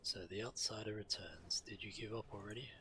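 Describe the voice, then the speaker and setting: A man playing a deep, blunt, hostile guard. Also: a little fast, sharp tone. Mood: grudging, scornful. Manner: curt, challenging. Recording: clear background game noise.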